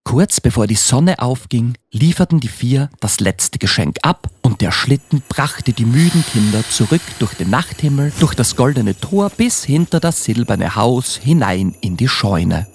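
A man narrating a children's Christmas audio play as a scripted story, with an expressive read-aloud delivery. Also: medium pitch, measured pace, few pauses, a little hiss or hum.

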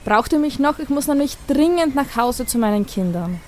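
Fussy young mother, acted by a woman, higher voice. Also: expressive, normal speed, darkish tone, slight background noise. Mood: curious, friendly. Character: fussy, irritable mother of two, softening into helpful listener. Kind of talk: amateur audio drama acting.

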